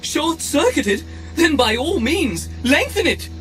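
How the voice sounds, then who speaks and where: An actor playing a fussy, haughty, malfunctioning protocol droid in an audio drama, with a prissy, pompous, theatrical delivery. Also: male voice, extremely high pitch, big pitch swings, takes it slowly, fairly bright tone, exaggerated voice. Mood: mocking. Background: music.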